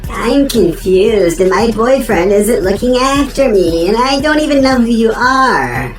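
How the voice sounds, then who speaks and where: Give me a woman as a slow-speaking, naive puppet girlfriend. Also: on the high side, very animated. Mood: confused, anxious. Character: sheltered, bewildered young girlfriend puppet. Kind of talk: theatrical puppet show dialogue. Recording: roomy echo, music behind. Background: music.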